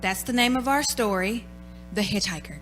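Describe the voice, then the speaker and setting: A woman's voice: medium pitch, moderate pace. A woman announcer giving a scripted, formal and dramatic introduction to a radio play.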